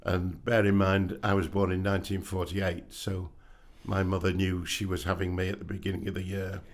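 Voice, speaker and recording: A man in his seventies from England, a podcast guest telling stories in an unhurried way with dry wit. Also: deep voice, little pitch movement. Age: 70s. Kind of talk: casual interview chat.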